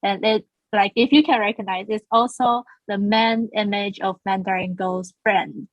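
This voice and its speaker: A woman's voice: mid-range voice, steady tone, moderate pace, dry recording, highs cut off. A woman from Taiwan, a school founder being interviewed, talking earnestly with frequent restarts. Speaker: guest, founder of a language school. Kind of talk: casual interview.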